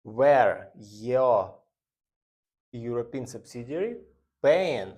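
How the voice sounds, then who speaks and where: Slow, halting male finance instructor. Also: medium pitch, expressive, darkish tone. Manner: halting, deliberate delivery in short phrases. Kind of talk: explanatory lecture.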